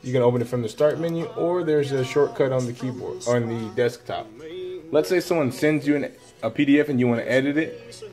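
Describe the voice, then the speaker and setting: A man's voice: mid-range voice, a little fast, over music. A middle-aged man, a teacher, walking fellow staff through a computer program in a classroom, talking upbeat and folksy as he narrates each click. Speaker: teacher leading a staff tech demo. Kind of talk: casual classroom screen demo.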